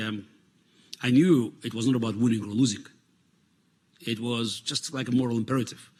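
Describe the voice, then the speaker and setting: A man in his fifties with a Russian accent, a native Russian speaker answering interview questions earnestly, halting often with fillers and restarts. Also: on the low side, husky.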